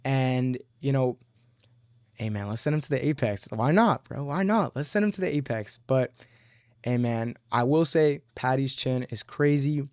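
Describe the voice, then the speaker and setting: A man's voice: medium pitch, expressive, moderate pace, darkish tone, dry recording. Young male fight recapper, slangy and rambling, mid-range voice, dry close recording. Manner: slangy, rambling, says "bro" a lot. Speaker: MMA commentator.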